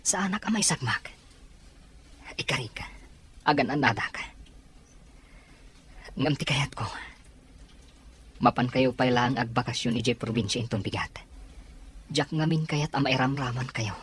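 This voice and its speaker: An actor with an Ilocano accent playing an earnest, protective grown son in a radio drama. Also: male voice, mid-range voice, animated, unhurried, long pauses, fairly bright tone, a little hiss or hum. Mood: reassuring, grave.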